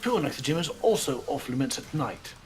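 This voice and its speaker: A man voicing a big, gentle, simple-minded young man who speaks in broken grammar for an anime game fan dub. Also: medium pitch, big pitch swings, a little fast, faint music behind, lightly acted. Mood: earnest. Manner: broken grammar, dropped words.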